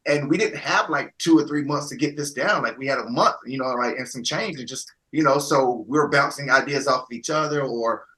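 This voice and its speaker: A man's voice: medium pitch, fairly quick, hardly any pauses, pushed and bright, poor audio. A man, an actor, talking enthusiastically and rambling with lots of fillers in a casual interview over a video call.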